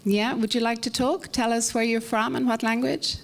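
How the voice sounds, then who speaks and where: A woman's voice: on the high side, level tone, moderate pace, creaky. An Irish woman moderating a conference panel, introducing speakers in a friendly, self-deprecating way. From Ireland. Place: conference meeting room.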